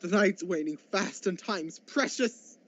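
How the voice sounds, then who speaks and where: A man's voice: high voice, a little slow, fairly bright tone, a little hiss or hum. A man playing a frightened, pleading old miser in a radio drama, with a stammering, dramatic delivery. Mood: fearful. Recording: dull lo-fi audio.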